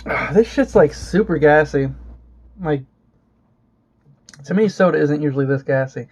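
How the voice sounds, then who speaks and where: A man's voice: on the high side, animated, a little slow, a little mellow. A young man from Florida chatting casually as a vlogger, rambling and swearing with lots of fillers and restarts. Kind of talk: casual chat. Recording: dull lo-fi home recording.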